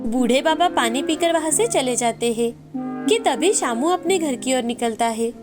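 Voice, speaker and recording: A woman's voice: sits high, animated, a little slow, over music. A woman narrator reading a scripted moral tale in storytelling style.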